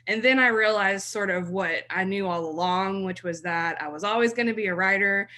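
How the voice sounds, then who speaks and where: A woman's voice: medium pitch, normal speed, pushed and bright. A woman in her thirties from South Mississippi, a blog writer talking as a podcast guest in a chatty way and laughing easily. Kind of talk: podcast interview.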